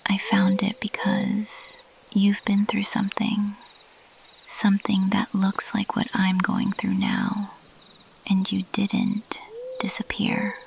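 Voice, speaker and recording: Woman playing a guarded weary monster girl, whispered ASMR roleplay, flat hushed tone, slow, halting. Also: medium pitch, creaky, poor audio. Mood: earnest. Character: weary young bat-winged monster girl, guarded, confessing.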